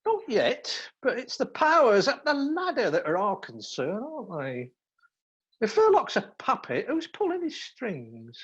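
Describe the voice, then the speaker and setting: An actor in a live radio play, playing a cheeky, persistent young undercover detective with a breezy, theatrical manner. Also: male voice, very high voice, wildly swooping pitch, unhurried, a bit bright, husky, dull audio, full character voice. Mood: earnest.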